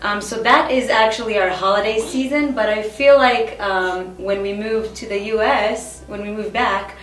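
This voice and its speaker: A woman in her thirties, from Israel, talking in a casual interview, halting, with many fillers and restarts. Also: medium pitch, faint music behind. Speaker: guest. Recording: echoey room, far from the mic.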